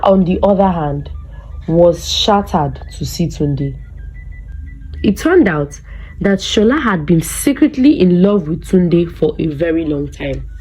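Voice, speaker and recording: A woman narrating a scripted folktale as a storyteller, in a measured, deliberate and dramatic way. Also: on the low side, darkish tone, husky, low-quality recording.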